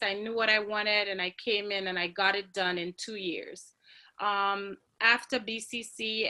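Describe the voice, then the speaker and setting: A woman in her forties speaks over a video call, her tone bright and keen. She tells her story as a panel graduate, earnest and reflective, with many ums between thoughts. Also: medium pitch.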